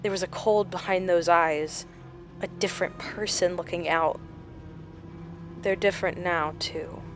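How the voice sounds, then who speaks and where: Female, haunted horror-drama survivor, played by an actress, slow halting delivery, mid pitch, music running underneath. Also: steady tone, lightly acted. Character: shaken woman recounting a supernatural encounter with a shapeshifter. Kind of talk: audio drama acting.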